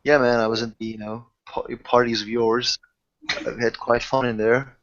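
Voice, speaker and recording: A man acting out a friendly, protective buddy in a tabletop game. He talks haltingly and familiarly, repeating himself, over dull lo-fi sound. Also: mid-range voice, flat delivery, fairly bright tone, voice pushed down, lightly acted. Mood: relaxed. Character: friendly, protective guy treating friends to beer.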